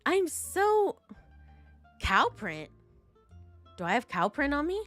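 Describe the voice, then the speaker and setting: A woman's voice: high-pitched, sing-song, slow, fairly bright tone, soft background music. A woman streaming a game with casual, chatty commentary in a playful, rambling manner.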